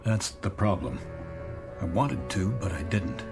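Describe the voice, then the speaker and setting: A low-voiced American executive in a radio drama, worn down and wistful. His delivery swings freely in pitch, halting and musing as he goes, with music underneath. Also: expressive. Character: weary, wistful male office executive longing to escape. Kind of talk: modern radio drama acting.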